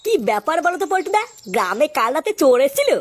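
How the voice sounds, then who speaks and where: A man's voice: very high voice, sing-song, fast talker, shouting, very noisy. A man playing a gullible, easily alarmed young villager in a cartoon comedy, full of incredulous questions and exclamations. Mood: curious. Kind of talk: slapstick cartoon comedy dialogue.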